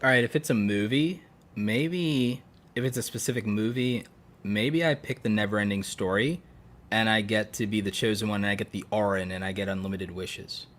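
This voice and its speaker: A man's voice: on the low side, moderate pace, fairly bright tone. A man chatting casually as a podcast co-host, riffing playfully and repeating himself for emphasis, with some swearing. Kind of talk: casual chat about fictional universes.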